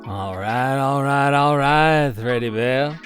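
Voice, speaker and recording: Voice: medium pitch, slow pace. A performer playing a cocky, laid-back male cosmic hero in a comic audio drama, with slangy jive talk. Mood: cool, cocky. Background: loud music.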